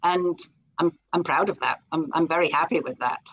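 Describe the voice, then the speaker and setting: Scottish woman, lower voice, middle-aged, chatty guest who keeps restarting her sentences. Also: normal speed, fairly bright tone, breathy edge, muffled recording. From Scotland. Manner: enthusiastic, repeats words when starting sentences. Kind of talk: live interview conversation.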